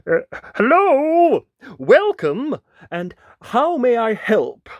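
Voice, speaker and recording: A storybook narrator voicing a kind, bashful male wolf who speaks gently and earnestly. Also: medium pitch, sing-song, very slow, no room sound, muffled recording, voice pushed up, full character voice. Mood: nervous.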